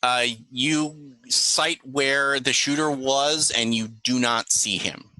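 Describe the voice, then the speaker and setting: A man running a tabletop roleplaying game as game master, narrating casually and haltingly with pauses. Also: medium pitch, fairly even intonation, bright, forceful tone, no room sound, low-quality recording.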